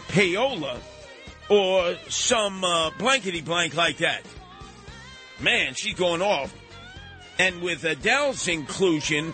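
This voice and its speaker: A man hosting a radio talk show, emphatic and theatrical, repeating words for effect in an animated, opinionated style. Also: high voice.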